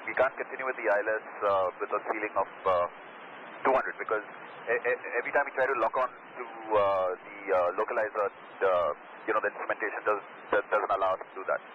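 Man, airline pilot, low pitch, hesitant phrasing, dull aviation radio. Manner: halting, hesitant phrasing with many pauses. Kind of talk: radio exchange with air traffic control. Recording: muffled lo-fi cockpit radio, loud static.

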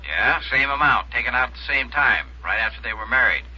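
Sharp, forceful American office man. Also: medium pitch, lively intonation, a little fast, husky, exaggerated voice. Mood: helpful, curious. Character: sharp, grumbling but helpful male insurance office man. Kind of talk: 1950s radio drama acting. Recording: dull, lo-fi old radio recording.

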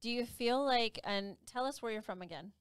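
A woman in her thirties co-hosting a wedding podcast, playful and quick to riff on a studio mic. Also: a little high, smooth, dry recording. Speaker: podcast co-host, wedding expert. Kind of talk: casual podcast chat. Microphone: studio mic on boom arm, headphones.

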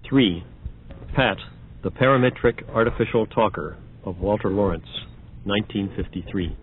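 A man's voice: fairly low pitch, normal speed, slightly rough. A man narrating an audio demonstration, reading scripted announcements. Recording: dull, noisy old record transfer.

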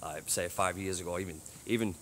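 A man who cleans a train station, giving a casual walking tour on his phone, chatty and rambling, with a deep voice. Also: a little fast, a bit bright, a little hiss or hum. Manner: chatty, rambling, says "you know what I mean". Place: old train station toilets and platforms at night. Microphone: handheld phone.

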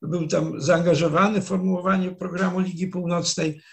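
An older man, a professor, explaining history in a measured, digressive way with frequent restarts, speaking over a video call from a wood-panelled study. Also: sits high, level tone, gravelly. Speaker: professor, interview guest. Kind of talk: explanatory academic talk. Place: study with dark wooden shelves, indoors.

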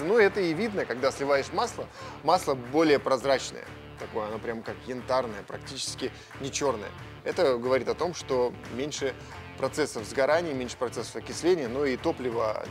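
Chatty man explaining his car engine. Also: mid-range voice. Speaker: car vlogger. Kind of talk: casual car vlog explanation. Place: car service garage, indoors, and outdoors near car.

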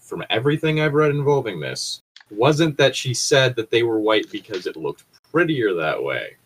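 A man's voice: lowish voice, a bit bright, rough recording. A man chatting casually and bluntly, swearing freely and arguing his points. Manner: profane, argumentative. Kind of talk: casual opinionated chat.

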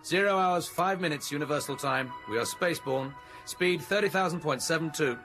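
An actor playing a griping, cheeky space radio officer in a radio drama, informal and sardonic. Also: male voice, fairly high pitch, brisk, music in the background, lightly acted. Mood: businesslike. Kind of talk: 1980s radio drama acting.

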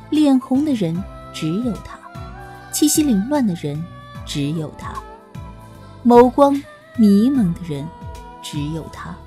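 A woman reads a romance story slowly, with a soft, lilting delivery. Her pitch rises and falls lively, and faint background music plays under her voice. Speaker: audiobook narrator. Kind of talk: scripted romance narration.

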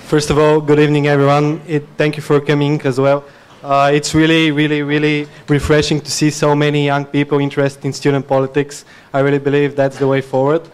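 A man's voice: on the high side, completely flat delivery, a little fast, clean tone. A young man from Bulgaria, Bulgarian-speaking by birth, giving an earnest campaign speech at a podium mic in a dim student venue, with many filler sounds and restarts. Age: young adult. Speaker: student election candidate. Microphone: podium mic on stand.

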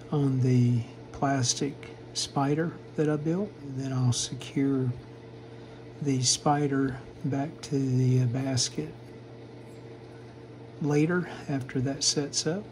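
An older man giving a casual, matter-of-fact, halting narration of hands-on repair work at a workbench. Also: mid-range voice, steady tone, darkish tone, slight background noise. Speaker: vintage radio repair hobbyist, channel host.

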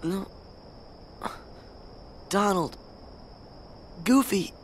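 A man's voice: high voice, extremely slow, a little hiss or hum. An actor voicing an upbeat, loyal teenage boy hero in an earnest youthful voice.

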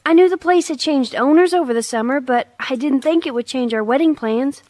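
Woman playing an anxious, high-voiced bride-to-be. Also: fairly quick, a little mellow, no echo, natural voice. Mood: dismayed. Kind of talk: radio drama acting.

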